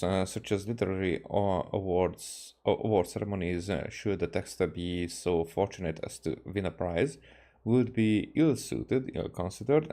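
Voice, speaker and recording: Male streamer, native Hungarian: dark, lowish tone, casual halting read-aloud commentary. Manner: halting, re-reads stumbled words. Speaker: game streamer. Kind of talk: casual gameplay commentary, reading game text aloud.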